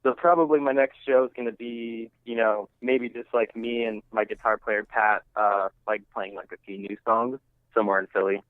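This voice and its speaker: A man being interviewed as a musician, chatting casually and haltingly, often saying "like" and "you know". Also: lowish voice, steady tone, no echo. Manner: halting and conversational, heavy use of "like" and "you know". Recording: thin phone-line sound.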